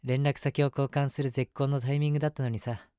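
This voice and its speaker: A man's voice: medium pitch, flat delivery, quick pace, warm tone, smooth, no echo, clean studio sound, natural voice. A man playing an eager, submissive, lovestruck young man in an audio drama, chatting and rambling to the listener with self-mockery. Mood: deflated, wistful. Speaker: voice actor. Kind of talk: situation voice drama, first-person monologue to the listener.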